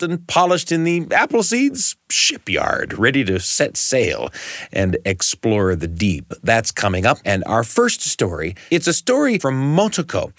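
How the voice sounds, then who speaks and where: A man hosts a storytelling programme in a polished, measured way. His pitch moves around in an animated fashion, and the sound is dry and close, as in a studio. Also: dry recording. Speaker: radio storytelling show host. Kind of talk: scripted narration introducing stories. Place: radio studio.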